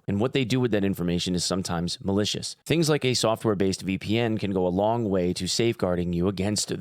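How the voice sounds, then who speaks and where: A man's voice: deep voice, darkish tone, dry recording, clean studio sound. A man hosting a gaming news video in a chatty, informal way with playful emphasis. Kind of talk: casual news commentary with sponsor read.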